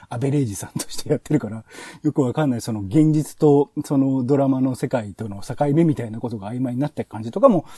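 Husky, fast-talking, animated male podcast host. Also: medium pitch, no echo. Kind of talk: casual podcast review chat.

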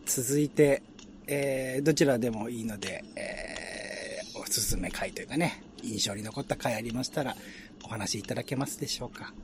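A man hosting a relaxed chat, talking politely in a hesitant, roundabout way. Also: mid-range voice, normal speed, background noise. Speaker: host.

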